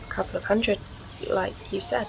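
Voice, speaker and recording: A woman's voice: mid-range voice, steady tone, moderate pace, creaky, noisy background. A woman in a radio play playing a wry, hard-up poet, guarded and given to dry quips. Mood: wary, bargaining. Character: wry, sceptical, hard-up female performance poet.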